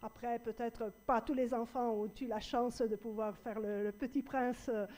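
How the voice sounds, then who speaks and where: A woman's voice: a little high, steady tone, moderate pace, warm tone. A woman moderating a public panel debate, speaking with many fillers and restarts. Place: public hall with audience.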